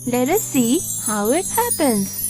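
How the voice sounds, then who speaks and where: A woman voicing a lively, knowledgeable young cartoon guide who explains things expressively to a child. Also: high-pitched, unhurried, faint music behind. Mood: explaining. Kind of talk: scripted children's educational cartoon dialogue.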